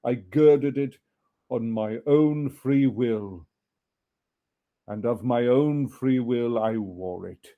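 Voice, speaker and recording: A man voicing the mournful, grave ghost of a dead businessman in a storybook reading, declaiming slowly and solemnly with drawn-out words. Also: mid-range voice, narrow, tinny audio. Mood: grave, menacing.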